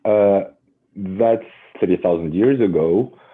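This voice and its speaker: 40s guy from tunisia, real low voice, lots of uh's, sounds like a phone call. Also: takes it slowly, breathy edge. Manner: hesitant, many "uh" fillers, laughs while talking. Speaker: podcast guest. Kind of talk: casual interview chat. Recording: tinny phone line.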